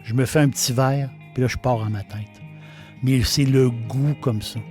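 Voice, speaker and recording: A man's voice: mid-range voice, steady tone, normal speed, darkish tone, soft background music. A man with a Québécois French accent, an innkeeper hosting a casual, folksy talk who often repeats his words. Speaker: innkeeper host. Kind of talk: casual talk about a liqueur.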